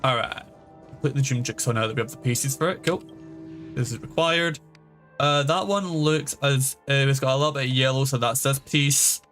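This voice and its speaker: A man gives casual livestream commentary as he plays a game. His voice sits at an ordinary middle pitch, with faint music running behind it. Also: moderate pace, fairly bright tone. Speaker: game streamer.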